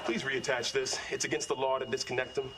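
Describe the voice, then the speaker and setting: A man playing a brisk, matter-of-fact fireman in a sitcom scene, official and unimpressed. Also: medium pitch, flat delivery, noisy background, lightly acted. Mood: official, firm. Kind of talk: sitcom fandub acting.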